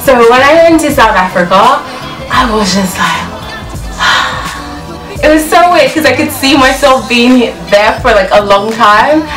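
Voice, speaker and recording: A young woman from Ghana telling a personal story in a casual vlog, animated and laughing as she acts out her own reactions, filmed in a small bedroom. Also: fairly high pitch, unhurried, recorded from a distance. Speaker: vlogger. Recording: echoey room, voice off-mic, loud music behind.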